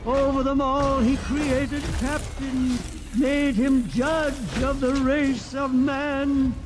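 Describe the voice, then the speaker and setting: A man's voice: very high voice, fairly even intonation, slow pace, background noise. A man playing a frightened, zealous old religious elder in an old-time radio drama, speaking in a solemn, pompous, preaching manner. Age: older. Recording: old radio recording with music.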